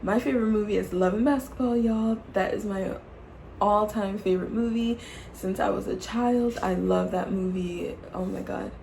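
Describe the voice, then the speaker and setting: A woman's voice: medium pitch, steady tone, normal speed, vocal fry, darkish tone, slight background noise. A woman in her late twenties chatting casually to camera as a vlogger, playful and teasing, laughing often and calling her viewers y'all. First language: English. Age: 20s. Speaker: YouTube vlogger.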